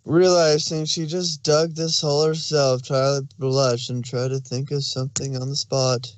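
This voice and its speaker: A man's voice: mid-range voice, level tone, a little slow, no echo. A man reading scripted story narration as the narrator.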